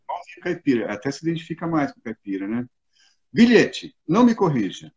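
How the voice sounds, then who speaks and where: A Brazilian man interviews a guest, his voice husky and a touch breathy. He keeps tagging phrases with a questioning "né?" and slips into rhymed lines. The recording is slightly dull and lo-fi. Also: on the high side, very animated, a little fast. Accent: Brazilian Portuguese. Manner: warm affirming, ends phrases with "né?", recites rhymes. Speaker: interviewer and storyteller. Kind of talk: interview with recited verse.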